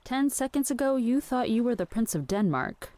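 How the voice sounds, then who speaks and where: A woman's voice: a little high, sing-song, moderate pace, a little mellow, no room sound. An actress playing a practical, exasperated woman with a dry, weary manner in an audio drama. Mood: disgusted. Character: exasperated, queasy, practical woman minding her raving companion. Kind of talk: scripted audio drama acting.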